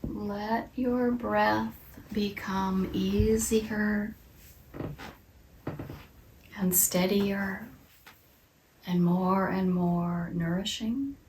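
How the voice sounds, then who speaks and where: A woman leads a meditation in a slow, unhurried voice, soft and soothing, and at times she drops into a whisper. She sounds set back from the mic, and her voice rings in an echoey room. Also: mid-range voice, fairly even intonation, recorded from a distance, slight background noise. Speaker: yoga and meditation teacher. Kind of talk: guided meditation.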